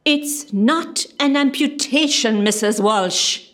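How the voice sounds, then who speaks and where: Woman acting a stern, slow, high-voiced nursing boss. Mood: stern, exasperated. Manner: authoritative, scolding, deliberate. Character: stern, bossy female hospital director of nursing. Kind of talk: amateur comedy radio play acting.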